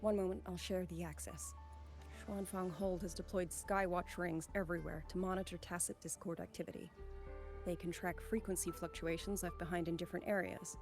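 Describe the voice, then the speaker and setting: An actress as a low-voiced, earnest woman soldier. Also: steady tone, lightly acted. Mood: brisk, resolute. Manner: formal, grave, measured delivery. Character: earnest young woman soldier, last survivor of her squad. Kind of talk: dramatic video game voice acting. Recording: game music behind the voice.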